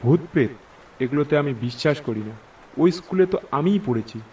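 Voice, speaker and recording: A man's voice: on the high side, lively intonation, fairly quick, darkish tone, recorded from a distance, slight background noise, lightly acted, rough recording. A man playing a confident, sceptical young man in a horror cartoon, casual and questioning. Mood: sceptical, brisk.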